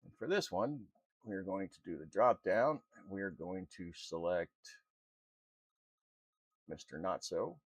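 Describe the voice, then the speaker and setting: A man presenting an unscripted software tutorial in an informal, halting, step-by-step way. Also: low-pitched, husky. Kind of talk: unscripted screen-recorded walkthrough.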